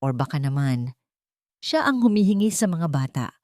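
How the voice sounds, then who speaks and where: A female narrator plays a scheming woman in a romance audiobook. She speaks in a mellow, soft-edged voice, feigning concern while slyly planting suspicion. The sound is dry and close. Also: low-pitched, very animated, normal speed, no echo. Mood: sly, suspicious. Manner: insinuating, falsely concerned.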